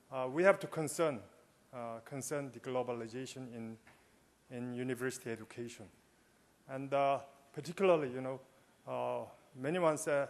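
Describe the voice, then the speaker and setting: A man chairs a conference session from a podium microphone. He pauses often, restarts his sentences and fills gaps with "uh". His voice sits at a middle pitch for a man. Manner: hesitant, many fillers and restarts. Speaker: session moderator, university president. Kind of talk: conference moderating. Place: large conference hall.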